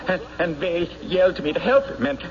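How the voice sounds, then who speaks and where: An actor playing a weaselly small-time crook in an old-time radio drama, pleading, halting and evasive under pressure. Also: male voice, sits high, animated, gravelly. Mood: nervous confessing. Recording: noisy, muffled vintage radio broadcast.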